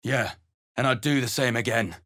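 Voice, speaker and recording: An actor as a gravelly, scornful sellsword. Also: male voice, medium pitch, moderate pace, a bit bright, lightly acted. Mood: blunt. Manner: blunt, scornful. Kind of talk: video game voice acting.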